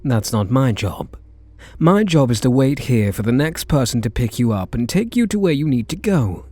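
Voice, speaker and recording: A British man playing a reluctant kidnapper in an intimate ASMR roleplay, wry and incredulous, speaking in short halting phrases. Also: mid-range voice, animated, darkish tone, no echo, close to an everyday voice. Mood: cool, probing. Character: reluctant male kidnapper, gruff but secretly softening. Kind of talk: ASMR roleplay acting, intimate one-sided dialogue.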